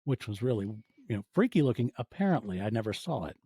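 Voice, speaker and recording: A man in his forties with a soft, mellow voice talks as a guest on a podcast. He tells stories in a casual, conversational way, briefly acting out what people said. The sound is very dry, with no room around it. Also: lowish voice, very animated, fast, husky, no echo. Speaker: podcast guest, audio fiction producer. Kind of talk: casual interview storytelling.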